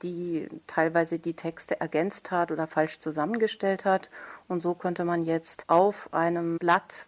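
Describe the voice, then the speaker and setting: Woman, lowish voice, thoughtful explanatory answers, unscripted interview speech. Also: a little mellow. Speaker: author, interview guest.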